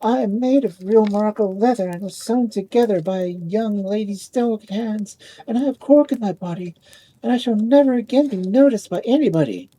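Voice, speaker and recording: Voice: medium pitch, brisk, dark tone, slightly rough, pitched above the performer's own voice, natural voice, low-quality recording. A storyteller voicing a vain, prim young lady ball in a fairy tale, with an airy, self-regarding manner. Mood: plaintive, self-pitying.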